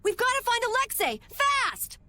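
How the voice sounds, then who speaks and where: An eager young boy from a game. His very high voice bounces with earnest urgency. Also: very animated, normal speed, pushed and bright, a little hiss or hum, heavily acted.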